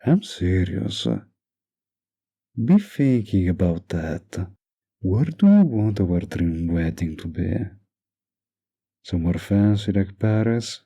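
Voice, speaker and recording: A man playing a doting, dominant young mafia heir boyfriend in an intimate roleplay audio drama, murmuring slow, possessive sweet talk with pet names. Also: warm tone. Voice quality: low gravelly, breathy murmur.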